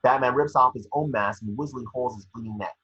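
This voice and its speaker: A man's voice: mid-range voice, quick pace, sharp tone, husky, lo-fi sound. A man narrating screenplay action in an amateur table read, occasionally stumbling over the script. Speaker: scene-description reader.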